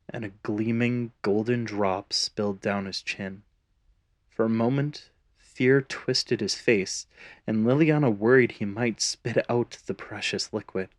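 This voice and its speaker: A man's voice: fairly low pitch, no echo, clean studio sound. A man narrating a scripted fantasy story in a dramatic storytelling style.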